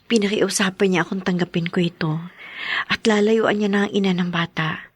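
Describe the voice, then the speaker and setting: An actress playing a long-suffering wife in a radio drama, soft and forgiving. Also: female voice, medium pitch, fairly even intonation, normal speed, breathy edge, no echo, natural voice. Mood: earnest.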